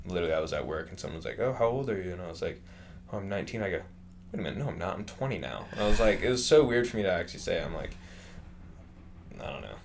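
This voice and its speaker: A young man in his twenties talks in a loose, rambling way, full of fillers and restarts. His voice sits very low. Faint background noise runs under the chat. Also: animated, racing, vocal fry. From California. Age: 20s. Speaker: interviewee. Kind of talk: casual conversation.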